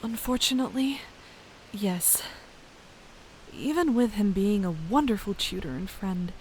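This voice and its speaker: Woman playing young druid princess, medium pitch, curious and sociable, fantasy audio drama, background hiss. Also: a little mellow, close to an everyday voice, low-quality recording.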